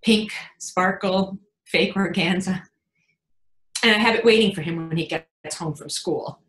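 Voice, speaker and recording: A woman playing an anxious, protective mother in a frank, wry, confiding dramatic monologue, pausing for emphasis. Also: fairly low pitch, lightly acted. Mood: earnest, anxious. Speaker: actor in a readers theatre performance. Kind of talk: dramatic stage monologue, conversational storytelling. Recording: echoey room sound.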